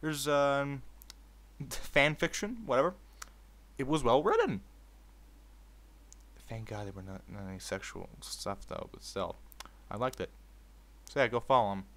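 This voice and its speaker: A man's voice: medium pitch, lively intonation, a little slow, pauses often, a bit bright. A man in an informal, sweary manner, hosting a video and moving between casual commentary and storytelling. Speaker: video host reading fan fiction aloud.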